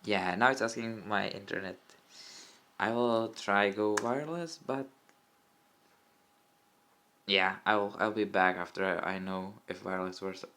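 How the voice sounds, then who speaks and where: A man's voice: lowish voice, unhurried, long pauses, pushed and bright. A young man with a Czech accent, his first language, talking through a computer install in a casual, rambling way and often correcting himself. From Czech Republic. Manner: rambling, self-correcting, mutters asides to the camera. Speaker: tech hobbyist demonstrating an install. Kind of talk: casual tutorial commentary. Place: indoor room at a desk by a window. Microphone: old smartphone camera mic. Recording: lo-fi old phone recording, faint room noise.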